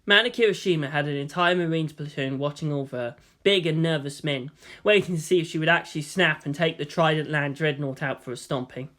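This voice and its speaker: A man's voice: a little high, brisk, pushed and bright. A man reading fiction aloud as narrator, in a dramatic scripted style. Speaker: story narrator.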